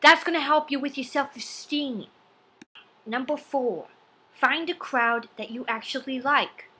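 A woman voicing an earnest fifteen-year-old nerdy boy giving a preachy, deliberate self-help video blog. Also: high-pitched, pushed and bright, breathy edge, exaggerated voice. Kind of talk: amateur self-help vlog monologue. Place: small home room. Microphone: laptop webcam at arm's length.

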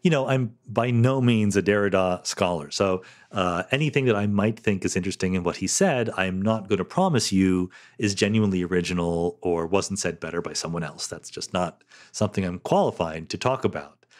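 Middle-aged male podcast host, lowish voice, solo Q&A, explains patiently with "um" and "right?" checks. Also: fairly quick. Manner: thoughtful, explanatory, sprinkles "um" and "right?". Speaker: podcast host answering listener questions. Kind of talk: solo question-and-answer monologue.

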